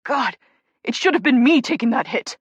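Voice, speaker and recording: Woman voicing young male hockey player, anguished, shouting, sobbing, swearing, dry close sound. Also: high voice, expressive, moderate pace, husky, dry recording, muffled recording, pitched above the performer's own voice. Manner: raw, shouting through sobs, swearing.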